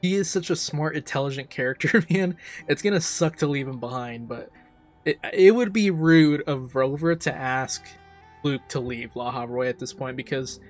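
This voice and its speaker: A man's voice: mid-range voice, moderate pace. A man giving casual, emphatic, enthusiastic commentary as a game reaction streamer. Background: faint game music.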